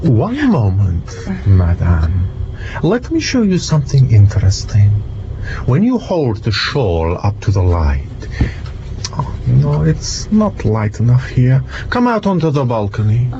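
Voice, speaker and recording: A shrewd gentleman sleuth in an audio drama. He speaks very slowly and politely, with a gravelly creak and a sly, teasing courtesy. The recording is a little dull. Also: medium pitch, huge pitch swings, background noise, heavily acted. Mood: coolly probing.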